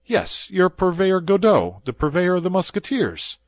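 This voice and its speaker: A man voicing a young guardsman hero who speaks urgently and earnestly, raised somewhat above his own low register. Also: big pitch swings, moderate pace, dry recording, clean studio sound. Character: young male guardsman hero, passionate and alarmed.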